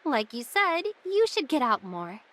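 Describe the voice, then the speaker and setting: A woman's voice: sits high, sing-song, measured pace, a bit bright, a little hiss or hum, full character voice. A woman playing a confident, teasing but caring young female guide in an anime-style game. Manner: breezy, teasing.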